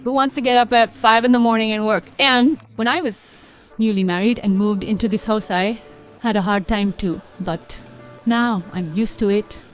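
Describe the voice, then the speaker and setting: A woman's voice: on the high side, normal speed, soft background music, natural voice. A woman playing a weary, aggrieved young daughter-in-law in a cartoon family story. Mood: weary, resigned.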